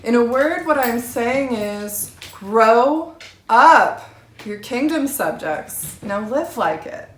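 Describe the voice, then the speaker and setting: A woman's voice: fairly high pitch, lively intonation, takes it slowly. Woman reading scripture in an echoey room. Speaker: scripture reader at a church. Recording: roomy, echoing sound.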